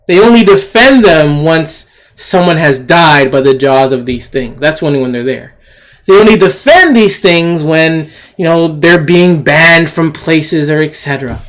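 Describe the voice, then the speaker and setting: A man delivering an unscripted opinion rant, argumentative and scornful, repeating phrases for emphasis. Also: sits high. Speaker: commentator hosting an opinion video.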